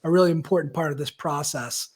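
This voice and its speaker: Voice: mid-range voice, quick pace, darkish tone, breathy edge. A coach hosts a live online session and speaks casually to the viewers and a guest. The speech rambles, circles back on itself, and leans on fillers. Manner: chatty and rambling, with many fillers and self-corrections. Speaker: coach and host. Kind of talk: live-stream coaching talk.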